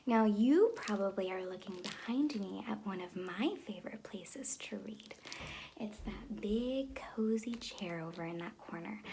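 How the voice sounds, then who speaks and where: A woman teacher reading a picture book aloud in an expressive way, speaking to young children. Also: mid-range voice, slight background noise, poor audio. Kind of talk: children's story read-aloud. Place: room at home with reading chair.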